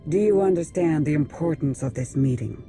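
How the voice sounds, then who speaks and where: A man's voice: fairly high pitch, brisk, over music, natural voice. An actor playing a solemn, prophetic male alien council leader in a video game, with a formal delivery. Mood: solemn foreboding. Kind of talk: video game cutscene acting.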